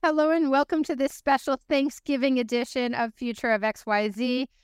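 A woman's voice: high voice, fairly even intonation, moderate pace, clean tone, no room sound, studio quality. An American woman hosting an interview show in an upbeat, conversational way. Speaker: host.

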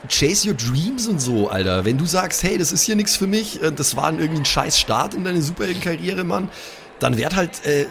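Hyped-up superhero bro, swinging pitch male player. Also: big pitch swings, fairly quick, fairly bright tone, exaggerated voice. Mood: skeptical, teasing. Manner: bro slang peppered with English catchphrases. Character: hyped, slangy young superhero buddy. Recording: clear background noise.

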